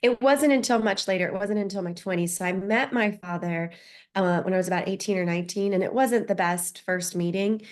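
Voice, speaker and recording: A woman's voice: medium pitch, fairly quick. A woman in her thirties or forties, a podcast guest telling her life story openly and reflectively, with frequent restarts. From United States. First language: Spanish. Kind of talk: personal story in an interview.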